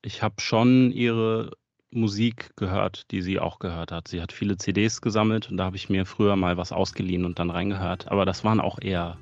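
A man with a low, gravelly voice talks in a loose, casual, reflective way, as if chatting in an interview. The recording is dry and close, with a slightly dull, muffled tone. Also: fairly even intonation, a little fast, no echo. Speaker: musician interviewed. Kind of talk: relaxed interview talk.

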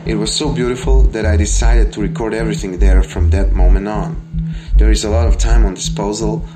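A man from Serbia, a musician, speaking calmly and reflectively about his life. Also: fairly low pitch, a little fast. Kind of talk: interview excerpt in a sound collage. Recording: over loud electronic music.

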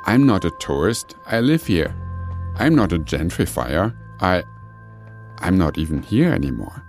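A man speaking a reflective voice-over in a calm, introspective, musing way. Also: low-pitched, a little slow. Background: music.

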